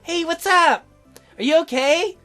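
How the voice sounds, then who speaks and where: A man's voice: extremely high pitch, unhurried, bright, forceful tone, faint music behind, voice pushed up, exaggerated voice. A man voicing an earnest, curious teenage girl in a dating sim, exaggerated and questioning as he reads her dialogue. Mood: eager, curious.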